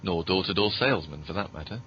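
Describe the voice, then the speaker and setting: A man playing a formal, deferential, deadpan butler with a shady streak in a scripted comedy podcast. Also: fast. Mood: dry, deferential. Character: deadpan, dutiful, quietly shady male butler. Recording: muffled, noisy low-quality podcast audio.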